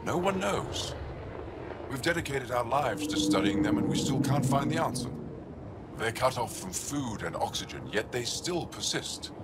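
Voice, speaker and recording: A man's voice: medium pitch, sing-song, moderate pace, lightly acted. An actor playing a devoted alien scientist who explains his research earnestly. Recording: loud background noise.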